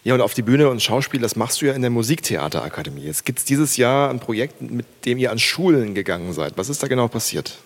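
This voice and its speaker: A man's voice: mid-range voice, very animated, fast talker, few pauses. A man hosting a live concert, announcing performers and interviewing a student on stage in an upbeat way. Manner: upbeat, announcing names and calling for applause. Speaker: concert host.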